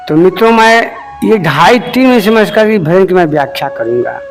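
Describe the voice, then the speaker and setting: A man's voice: sits high, lively intonation, fairly quick, faint music behind. A middle-aged man who explains devotional songs in an informal, rambling talk, often repeating himself and turning to his listeners as friends.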